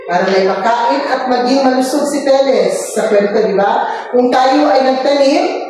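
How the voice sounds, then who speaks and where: Female elementary teacher, expressive and didactic storybook delivery, high register, very reverberant room with faint noise. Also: moderate pace. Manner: expressive, didactic, prompting listeners. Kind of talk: storybook read-aloud and questions to children. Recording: echoey room, distant mic, faint noise.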